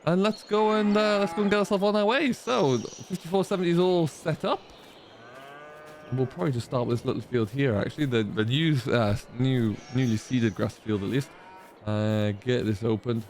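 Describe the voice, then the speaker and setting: A man's voice: mid-range voice, normal speed. Chatty man narrating farm gameplay over background noise. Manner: chatty, explanatory, thinking aloud. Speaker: gaming video host, playing a tenant farmer. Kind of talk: casual roleplay gameplay commentary on farming.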